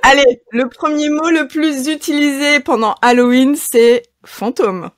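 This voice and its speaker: Higher-voiced woman hosting a chat. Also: measured pace, dry recording, clean studio sound. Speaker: podcast host. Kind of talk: casual podcast chat.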